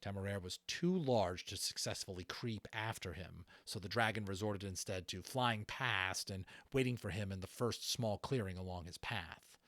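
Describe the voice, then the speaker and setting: Male storyteller for audiobook narration; lowish voice, close dry sound. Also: normal speed, few pauses, fairly bright tone, dry recording. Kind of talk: scripted story narration with informal asides.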